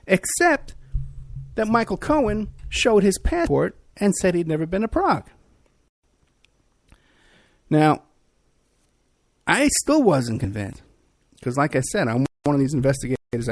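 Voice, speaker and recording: Hoarse male podcast host, gravelly, husky, animated rambling monologue. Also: mid-range voice, dry recording. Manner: animated, repetitive, riffing. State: hoarse, losing his voice. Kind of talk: talk-radio monologue.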